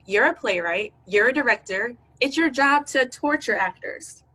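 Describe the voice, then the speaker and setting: A calm, coaxing colleague, played by a woman, steady and persuasive. Her voice sits high, and she stays even and patient. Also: normal speed, breathy edge, exaggerated voice, poor audio. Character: coaxing, encouraging female colleague.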